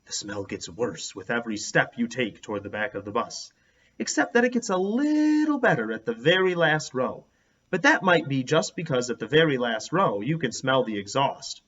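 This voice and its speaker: Animated man narrating a children's story. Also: mid-range voice, normal speed, slightly rough, dull audio. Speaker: read-aloud storyteller. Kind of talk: expressive children's book narration.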